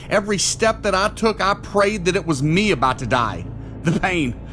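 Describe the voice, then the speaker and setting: A man's voice: a little high, lightly acted. An actor playing a tormented, desperate man forced to kill, pleading and anguished in a horror audio drama.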